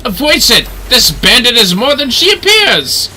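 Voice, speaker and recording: A man's voice: very high voice, huge pitch swings, a little slow, pushed and bright, a little hiss or hum, pitched above the performer's own voice, heavily acted. A man voicing a haughty bandit villain in a video game, declaiming threats and orders theatrically. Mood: alarmed, angry. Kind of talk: dramatic video game dialogue read-out.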